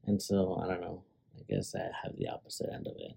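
A man's voice: low-pitched, huge pitch swings, fast talker, vocal fry, warm tone, breathy edge. A man co-hosting a podcast, adding wry, supportive remarks in casual chat. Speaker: podcast co-host.

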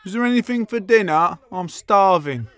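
An actor playing a dim, oblivious, unbothered boyfriend with a deadpan, literal manner in a dark comedy audio drama. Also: male voice, sits high, slight background noise. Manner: deadpan, slow-witted, literal.

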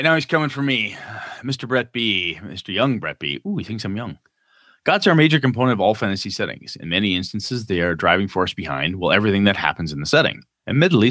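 A man with a North American accent and a low voice, recorded close and dry. He talks as a podcast co-host in a loose, slangy, conversational style, moving between discussion and reading messages out. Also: a bit bright, dry recording. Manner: informal, slangy. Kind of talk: casual discussion, reading listener messages aloud.

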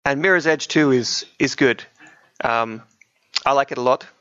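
A man's voice: medium pitch, normal speed, bright, forceful tone. A man co-hosting a podcast, chatting casually about video games in a chatty, dryly joking way. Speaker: podcast co-host. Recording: muffled, roomy home recording.

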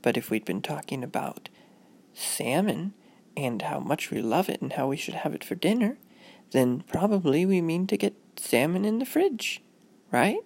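Storybook mother, acted by a woman: gentle, patient, coaxing, slightly high lilt with some creak. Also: big pitch swings, normal speed, full character voice. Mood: patient, explaining. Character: patient, gentle mother explaining things to her child.

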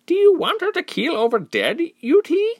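A man's voice: very high-pitched, wildly swooping pitch, moderate pace, no echo, clean studio sound, voice pushed up, heavily acted. A man voicing a concerned woman on a council, defending a young girl in an indignant, pleading way. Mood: protective. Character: high-pitched concerned woman council member.

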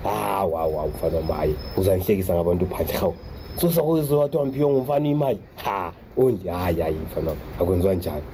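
A man's voice: low voice, very animated, very fast, dark tone, a little hiss or hum. A man playing a proud, protective father in a radio drama, talking in repetitive, colloquial exclamations. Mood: earnest, protesting. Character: proud, protective father struggling to provide for his son.

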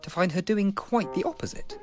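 A young English constable in a radio comedy. He is eager, polite and deferential, hesitating but precise, his pitch jumping about expressively, with music behind him. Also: big pitch swings, fairly quick. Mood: narrating. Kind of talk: British radio comedy acting.

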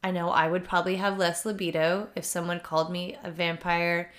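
A young woman co-hosting a podcast in casual chat, agreeable and quick to laugh, a little sniffly. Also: on the low side, level tone. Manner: giggly, agreeable, frequent laughs. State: sniffly nose. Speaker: podcast co-host.

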